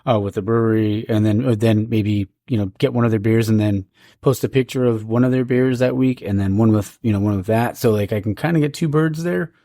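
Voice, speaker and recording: A man's voice: lowish voice, brisk, dark tone, no echo, clean studio sound. A man hosting a podcast, rambling casually with lots of fillers. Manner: rambling, many fillers like "like" and "dude". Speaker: podcast host. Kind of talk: casual chat.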